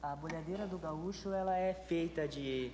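A man's voice: fairly high pitch, normal speed, crowd noise. A young man from southern Brazil with a Rio Grande do Sul accent, a talk-show guest who answers eagerly and repeats himself. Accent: Rio Grande do Sul Portuguese. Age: young adult. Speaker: guest performer. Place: large TV studio with audience. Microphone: desk microphone. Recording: studio hall reverb, some background noise.

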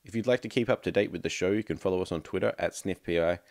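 Low-voiced man, wry podcast host. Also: fast talker, darkish tone, no echo, studio quality. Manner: wry, joking asides. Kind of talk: casual podcast outro.

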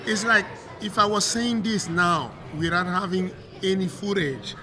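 A man's voice: sits high, measured pace, background noise. A middle-aged man from Cameroon with a French accent, a coach talking in a casual interview, often repeating words as he searches for English. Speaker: MMA coach. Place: hotel room, indoors. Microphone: desk mic on stand.

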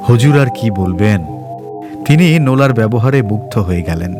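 A man's voice: lowish voice, animated, normal speed. Male storyteller narrating over music. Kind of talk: scripted story narration with acted dialogue. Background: background music.

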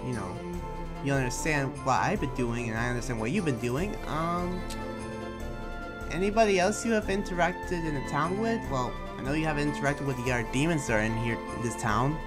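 Man playing hesitant friendly townsman, loud music behind. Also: mid-range voice, a little fast, natural voice. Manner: hesitant, rambling, conversational. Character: friendly male townsman who senses danger, reassuring but cautious. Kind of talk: improvised Minecraft anime roleplay.

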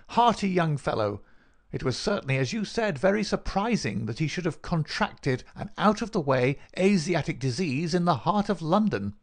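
Man putting on a sneering, high-pitched gloating villain. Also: gravelly, exaggerated voice. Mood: smug. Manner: taunting, insistent, rhetorical questioning. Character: gloating, sneering villain visitor, cruel and mocking.

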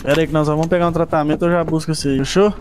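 A man's voice: fairly high pitch, fairly even intonation, rapid-fire, slight background noise. A man with a Brazilian accent, talking casually and in slang with his friends while playing a roleplay game. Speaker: gamer in a group roleplay session. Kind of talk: casual gaming chat with friends.

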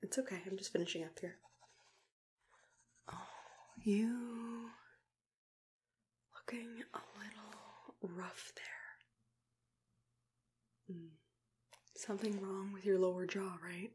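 Softly whispering young woman, ASMR roleplay. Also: mid-range voice, steady tone, slight background noise. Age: young adult. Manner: gentle, unhurried, reassuring. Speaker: ASMR roleplayer playing a dentist.

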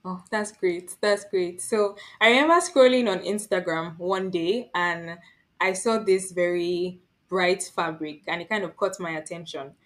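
A woman's voice: fairly low pitch, measured pace. A young woman hosting an interview podcast, introducing her guest and asking questions in a friendly, upbeat way, close to a studio mic. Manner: friendly, upbeat, with brief encouraging backchannels. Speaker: podcast host. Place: home room with art on the wall. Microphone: large studio microphone with headphones.

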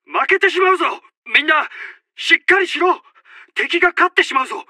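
An actor playing a commanding, urgent Japanese army officer who barks out short battle announcements in a military video game. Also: male voice, very high voice, a little fast, sharp tone, no room sound, heavily acted. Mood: urgent, desperate.

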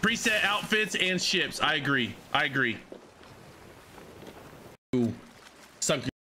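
A man in his thirties streams a game from a small room at home, talking into a headset mic. His voice is bright and pushy, sitting a little high for a man, and it is starting to go from a cough. He chats loosely, says most things twice and swears now and then. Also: big pitch swings, slow, a little hiss or hum. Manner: repeats his phrases, swears casually, talks over gameplay. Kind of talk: casual live-stream chat. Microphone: headset microphone.

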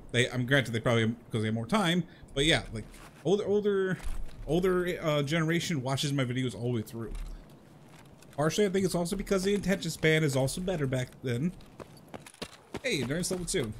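A man's voice: mid-range voice, slight background noise. A man in his thirties chatting casually to viewers as he plays a game, rambling and repeating himself in an informal way. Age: 30s. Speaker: video game livestream host.

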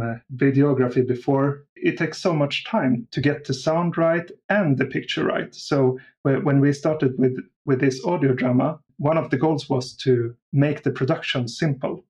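A man talks as a podcast guest in a casual interview style. His voice sits at a middle pitch for a man, and he speaks conversationally. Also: fairly even intonation, moderate pace, few pauses, a little mellow, highs cut off. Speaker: podcast guest, audio drama creator.

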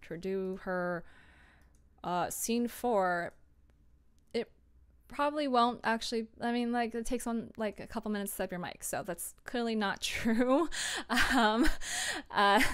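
A woman's voice: mid-range voice, measured pace, smooth. A young adult woman chatting casually and informally as she explains her work process, with a giggly manner. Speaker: streamer and audio drama producer.

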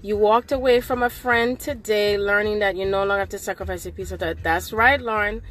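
A woman's voice: mid-range voice, fairly even intonation, fairly quick, fairly bright tone. A middle-aged woman talking on an impromptu live video from inside a car at night. She is a little nasal from a cold and talks fervently, repeating affirmations. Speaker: spiritual livestreamer. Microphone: phone held at arm's length.

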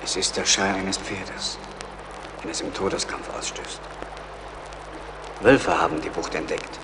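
A man acts a grave forest warrior in an audio drama. His deep voice is terse and alert, ringing with long reverb under loud background noise. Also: steady tone, unhurried. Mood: grave, quiet. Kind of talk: German audio drama acting.

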